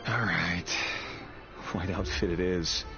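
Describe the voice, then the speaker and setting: A weary, brooding young man in a video game. His voice sits low and he speaks in a measured, earnest way. The sound is dull, with loud music behind it. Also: creaky, exaggerated voice. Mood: affectionate, teasing. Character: weary young man, secret royal executioner, tender but brooding.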